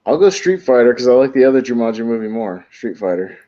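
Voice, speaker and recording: A man's voice: mid-range voice, sing-song, fast, warm tone. A man on a panel trading casual banter in a blunt, sweary way. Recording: dull lo-fi voice audio.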